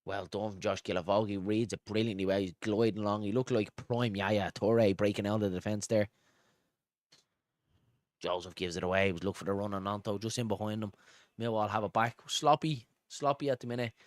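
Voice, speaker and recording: Male fan-stream football commentator, Dublin accent, low pitch, chatty and pally with viewers, dry sound. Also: fairly even intonation, a little fast, no echo. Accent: Dublin Irish. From Dublin, Ireland. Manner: informal, matey, addresses viewers as pal and buddy. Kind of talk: live football commentary mixed with casual chat to viewers.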